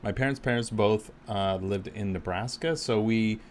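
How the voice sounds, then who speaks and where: A man's voice: fairly low pitch, normal speed, a little hiss or hum. An American man co-hosting a podcast in casual conversation, agreeable and informal, responding readily to his partner. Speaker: podcast co-host.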